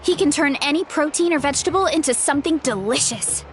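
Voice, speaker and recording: Casting: cheerful, easygoing anime-game heroine, youthful high voice, animated and sociable. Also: female voice, fast talker. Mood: cheerful, chatty. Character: upbeat, friendly, easygoing young warrior girl. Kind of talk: anime video game voice acting. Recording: music behind the voice.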